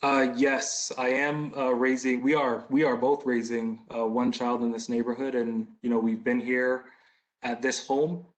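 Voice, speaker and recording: A man's voice: medium pitch, little pitch movement, measured pace, poor audio. An American man in his thirties answering questions at a community meeting in a conversational, explanatory way. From Boston, United States. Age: 30s. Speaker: license applicant, business owner.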